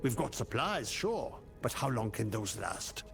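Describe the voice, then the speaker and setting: An actor playing a worried, grumbling survivor in a fantasy game. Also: male voice, medium pitch, big pitch swings, measured pace, vocal fry, soft background music. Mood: worried, bitter. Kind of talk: scripted game voice acting.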